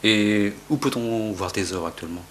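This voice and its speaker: A man interviewing a painter, asking informal, slightly halting questions. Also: on the low side, slight background noise.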